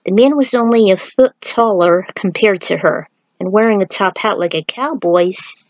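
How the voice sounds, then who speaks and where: A woman narrates an amateur fan fiction story and speaks as its writer. Her pitch is mid-range for a woman. The recording is dry, with very little room sound. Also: normal speed, dry recording.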